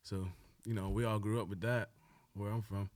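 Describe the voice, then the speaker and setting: A man's voice: fairly low pitch, flat delivery, normal speed, a little mellow, no echo. A man chatting casually as a musician guest on a podcast, laid-back and conversational. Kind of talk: casual chat.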